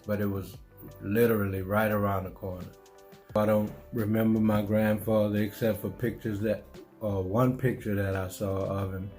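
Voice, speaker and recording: A middle-aged man with a New Orleans accent speaks in a low, even voice that stays close to one note. He muses slowly through his memories, circling back and restarting his thoughts. Soft music plays faintly behind him. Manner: unhurried, musing, repeats himself with restarts. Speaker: vlogger telling his life story. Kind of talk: reflective personal storytelling.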